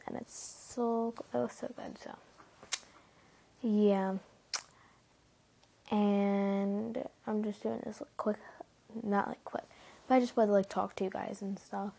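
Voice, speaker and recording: A teenage girl vlogging from her bedroom at night, rambling casually with lots of fillers, often hushed, her nose stuffy from a cold. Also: medium pitch, creaky. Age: teens. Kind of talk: casual late-night chat to camera. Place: bedroom at night, sitting on bed.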